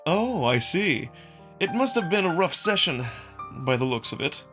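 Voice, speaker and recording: A man's voice: a little high, big pitch swings, normal speed, music in the background, voice pushed up. A man voicing a cool, courteous male canine foreign guest in a visual novel, with polished, formal speech. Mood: cool, curious.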